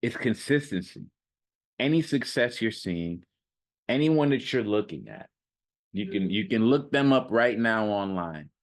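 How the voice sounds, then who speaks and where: A man's voice: lowish voice, lively intonation, unhurried. A man giving an unscripted motivational monologue as a self-improvement coach, talking in an emphatic, repetitive, slangy and casual way.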